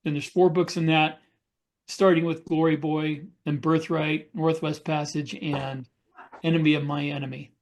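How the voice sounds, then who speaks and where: A man's voice: fairly high pitch, little pitch movement, normal speed. A man who is an author, explaining things casually as a podcast panelist.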